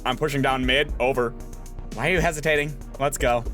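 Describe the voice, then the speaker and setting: A young man doing jokey, animated let's-play commentary as a voice actor reading a visual novel. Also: mid-range voice, brisk, soft background music. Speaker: voice actor playing a game.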